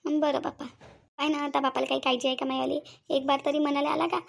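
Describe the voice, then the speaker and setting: A high, creaky-voiced middle-aged mother played by a woman from a cartoon family drama. She has a Varhadi Marathi accent and talks in a quick, plaintive stream, fretting and wheedling as she repeats her pleas. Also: little pitch movement. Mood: weary complaining. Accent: Varhadi Marathi from Vidarbha.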